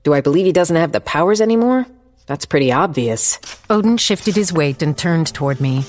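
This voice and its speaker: Low female voice, determined police chief, firm, dry, first-person audio drama narration, music behind. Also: expressive, a little fast, darkish tone. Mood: firm, challenging. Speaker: narrator and lead character.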